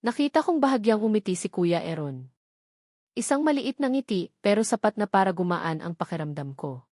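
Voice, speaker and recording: A Filipino woman reads a scripted romance drama in the first person. Her pitch sits in the middle of the female range, and the sound is dry with very little room to it. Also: big pitch swings, fairly quick, a little mellow, no echo. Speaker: narrator of audio love stories.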